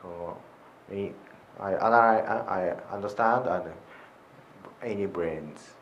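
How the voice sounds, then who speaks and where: A man introducing himself at a workshop, halting and groping for words. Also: deep voice, dull audio, a little hiss or hum. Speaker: participant.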